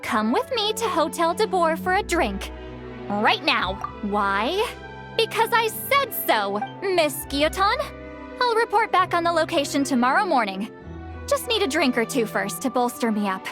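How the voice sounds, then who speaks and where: A high-pitched, brash game heroine. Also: female voice, sing-song, measured pace, over music. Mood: bossy. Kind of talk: English anime game voice acting.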